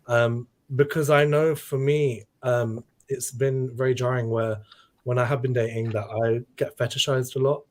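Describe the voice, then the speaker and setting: A man's voice: mid-range voice, little pitch movement, moderate pace, darkish tone. A man of Pakistani heritage hosts a casual chat in a friendly, joking way. He is a little scattered as he searches for his questions.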